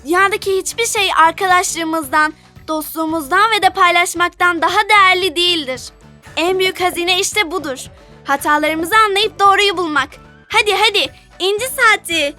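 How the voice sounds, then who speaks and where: A woman's voice: extremely high pitch, normal speed, sharp tone. A woman voicing a cheerful, kind young sea creature who leads and explains in a children's cartoon. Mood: cheerful, proclaiming. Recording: music bed under the voice.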